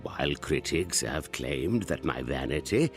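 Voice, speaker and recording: A man's voice: a bass voice, lively intonation, unhurried. An actor playing a vain, elderly English aristocrat narrating his memoirs in a pompous, wordy manner for a radio comedy. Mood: grandiose reminiscing. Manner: pompous, wordy, self-regarding. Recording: narration over music.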